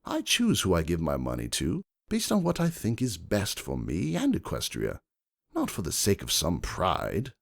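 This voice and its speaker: A man playing a self-assured, wealthy gentleman stallion who argues emphatically and cuttingly in a dramatic fan fiction reading. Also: sits low, wildly swooping pitch, normal speed, creaky, exaggerated voice. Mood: cool, firm.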